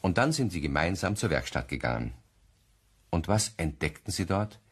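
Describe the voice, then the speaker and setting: A man's voice: low voice, very animated, moderate pace, breathy edge. A man narrating a scripted German radio play in a wry storytelling manner. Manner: wry storytelling with rhetorical questions.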